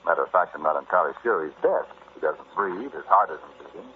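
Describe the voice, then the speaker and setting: An actor playing a brash, sardonic American amateur archaeologist, talking straight at the listener in a 1940s radio drama monologue. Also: male voice, low voice, expressive, fairly quick, narrow, tinny audio. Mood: dryly macabre. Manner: conversational, sardonic, dismissive, addressing the listener directly. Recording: noisy, band-limited 1940s radio recording.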